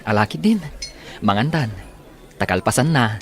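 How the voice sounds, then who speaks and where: A man acts a young village suitor in an Ilocano radio drama. His voice is slightly low. He speaks with an Ilocano accent and a friendly, courting temper. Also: animated, normal speed. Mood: tender, friendly.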